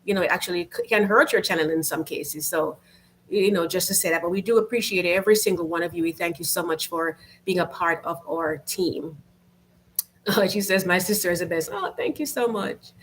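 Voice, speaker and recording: A woman's voice: fairly low pitch, a little fast, slight background noise, low-quality recording. A woman from Jamaica with a Jamaican accent, hosting a casual home livestream, reading viewer comments aloud in an upbeat, chatty way full of fillers. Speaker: YouTube channel host.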